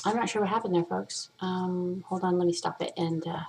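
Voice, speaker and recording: A woman's voice: lowish voice, fairly even intonation, fairly quick, creaky, a little mellow, slight background noise. A woman hosting her own vlog, chatting casually and candidly, rambling with frequent swearing and playfully trying out different voices. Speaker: vlog host. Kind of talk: casual chat to camera.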